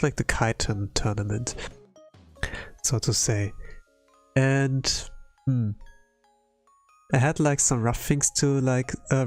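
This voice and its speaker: Halting, tired man chatting over music. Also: mid-range voice, a little mellow. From Europe. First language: not English. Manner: hesitant, halting, filler-heavy with frequent "like". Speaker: livestreamer. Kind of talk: casual rambling livestream chat. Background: background music.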